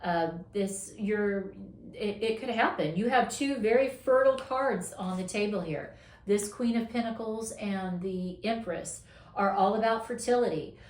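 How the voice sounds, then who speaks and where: A woman tarot reader talks through a casual weekly reading in an encouraging, affirming tone. She stretches out the words she wants to stress. Her voice is going hoarse, and the room gives it a noticeable echo. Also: medium pitch. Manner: encouraging, affirming, drawn-out emphasis. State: losing her voice, coughing.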